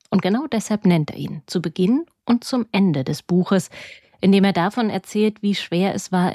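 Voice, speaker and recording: Low-pitched, mellow woman reading a review. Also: dry recording, studio quality. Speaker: radio book reviewer. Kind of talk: scripted narration, literary review.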